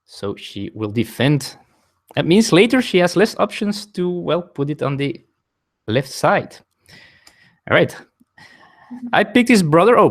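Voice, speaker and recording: A man with a non-native English accent talks through a board game from his table at home. His pitch rises and falls a lot as he explains each rule. He chats with viewers between turns and often stops to correct himself. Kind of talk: live-streamed board game play explaining rules.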